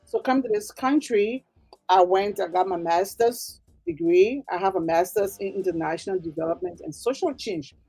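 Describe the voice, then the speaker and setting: Woman, Liberian accent, slightly raspy mid-pitched voice, earnest emphatic interview guest. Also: moderate pace, dark tone, low-quality recording. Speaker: interview guest, nonprofit executive director. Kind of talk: explanatory interview talk.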